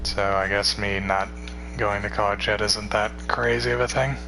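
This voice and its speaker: Young male streamer, 21, tired, low even delivery, loose and rambling, noisy recording with a hum. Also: moderate pace. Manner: rambling, relaxed, swears casually. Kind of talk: casual chat with stream viewers.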